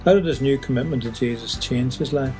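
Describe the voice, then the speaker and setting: A man's voice: medium pitch, fairly quick, a little mellow, faint music behind. A man hosting a radio programme, reading scripted links to the listeners. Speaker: radio show host.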